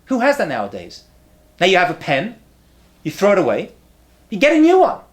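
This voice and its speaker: This is a man in his thirties giving an animated rabbinic lecture straight to camera. His pitch leaps and falls with real liveliness as he drives home repeated punchlines. He slips in humorous asides, and he talks into a close lapel mic in a quiet study lined with books. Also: a little fast. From not from America.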